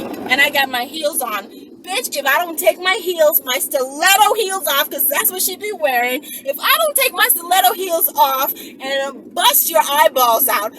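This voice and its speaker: Female vlogger, very high voice, emphatic and foul-mouthed casual storyteller, recorded away from the mic. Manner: animated, emphatic, sweary. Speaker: channel host. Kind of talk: casual self-defense storytelling, acted-out scenario. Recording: distant mic with clear background noise.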